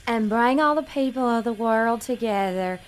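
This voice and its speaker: An actress playing a sweet, nervous, dim young pageant contestant in an audio drama, apologetic and naive. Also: female voice, a little high, moderate pace, slight background noise, natural voice, low-quality recording. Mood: earnest, rambling.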